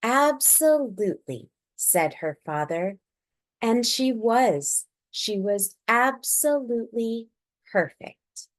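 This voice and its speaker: A woman reading a children's story aloud expressively, drawing out key words with deliberate emphasis. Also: a little high. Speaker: children's picture book reader.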